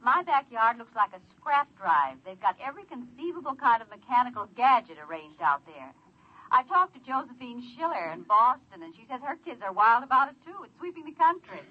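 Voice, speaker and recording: A woman's voice: a little high, brisk. An actress playing a harried, gossipy mother in 1950s radio drama, wryly exasperated, as if on a filtered call. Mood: chatty, exasperated. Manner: animated, chatty, wryly exasperated. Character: harried, gossipy mother chatting with her sister. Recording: dull, filtered vintage radio recording.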